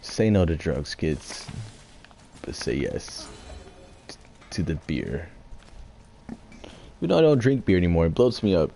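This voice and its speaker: A man's voice: sits low, huge pitch swings, unhurried, creaky, pauses often, warm tone. A young man giving rambling, profane, drunk gameplay commentary, with a congested voice. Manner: rambling, profane, loose and slurred. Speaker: gaming streamer.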